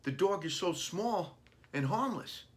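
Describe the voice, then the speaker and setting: Man voicing a high-pitched pleading young boy. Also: animated, a little slow. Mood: pleading protest. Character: pleading, upset ten-year-old boy. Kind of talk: children's storybook reading.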